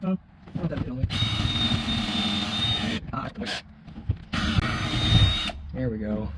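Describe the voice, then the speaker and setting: Man, mid-pitch, low-key mutter mid-task, dull lo-fi sound, clear background noise. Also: expressive, drawn-out words. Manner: muttering to himself, mildly frustrated. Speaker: DIY vehicle modder. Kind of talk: casual narration while working. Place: in and under a Jeep.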